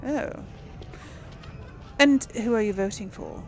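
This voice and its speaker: A woman's voice: on the high side, takes it slowly, warm tone, soft background music. A woman streaming a role-playing game, thinking aloud in casual, chatty commentary. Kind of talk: casual let's play commentary.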